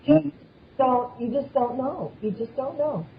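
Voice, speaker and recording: A woman's voice: sits high, unhurried, gravelly. A woman guest chatting casually on a panel in an anecdotal, storytelling way. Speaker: podcast guest. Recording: muffled lo-fi audio, faint background noise.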